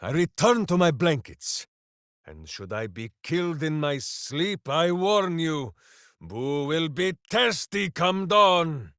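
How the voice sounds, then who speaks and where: An actor voicing a hearty, simple-minded, boastful heroic berserker in a video game, with a bombastic, theatrical way of talking. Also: male voice, on the high side, a bit bright, no echo, studio quality, heavily acted. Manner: bombastic, theatrical, speaks of himself in third person. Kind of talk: video game character voice lines.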